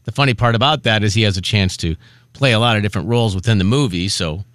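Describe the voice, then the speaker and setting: A man's voice: on the low side, quick pace, fairly bright tone, dry recording, clean studio sound. A man co-hosting a morning radio show, announcing promos and chatting easily. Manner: easygoing, agreeable, laughs along. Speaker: radio co-host. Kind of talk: casual morning radio banter.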